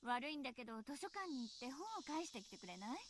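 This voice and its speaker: A woman playing a busy middle-aged mother in a Japanese visual novel, brisk, firm and matter-of-fact. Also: high voice, lively intonation, noisy background. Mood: brisk request.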